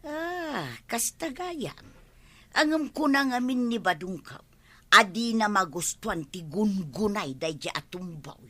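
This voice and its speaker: A woman's voice: mid-range voice, very animated, unhurried, pushed and bright, heavily acted. An actress playing a nosy older village woman in a comedy radio drama, speaking with an Ilocano accent. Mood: chatty, nosy.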